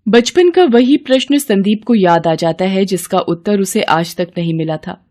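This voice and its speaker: Mellow woman narrating a story. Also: medium pitch, expressive, normal speed.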